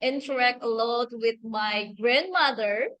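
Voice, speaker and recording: A young woman from Indonesia whose first language is Japanese, talking in a relaxed conversation with many pauses, fillers and restarts, and soft laughs. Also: a little high, low-quality recording. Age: young adult. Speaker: host. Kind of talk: casual conversation.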